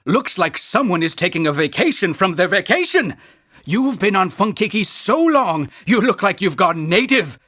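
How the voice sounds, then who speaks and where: An actor playing a fussy, absent-minded town mayor in a cartoon video game, wordy and politely pompous. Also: male voice, sits high, very animated, moderate pace, pushed and bright, no room sound, studio quality, full character voice. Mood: teasing, admonishing.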